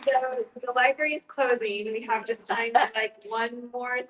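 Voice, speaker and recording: A woman with a fairly high voice hosts an informal discussion group. She sounds like she is in an echoey room, with a little noise in the background. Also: fairly even intonation, moderate pace, fairly bright tone. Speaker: group host.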